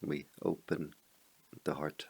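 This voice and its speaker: A man leading a guided meditation as a teacher, speaking in slow, measured phrases with long pauses. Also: a bass voice, flat delivery, vocal fry, a little mellow, breathy edge.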